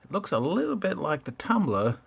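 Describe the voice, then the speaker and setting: A man giving casual, excitable commentary over a racing game. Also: a little high, fairly quick, creaky, slightly rough. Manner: excitable exclamations. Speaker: gamer.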